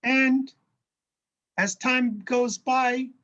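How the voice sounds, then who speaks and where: A minister with a New Jersey accent giving a sermon, speaking slowly and carefully, sometimes restarting a word as he recovers from a brain injury. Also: extremely high pitch, fairly even intonation, sharp tone, lo-fi sound.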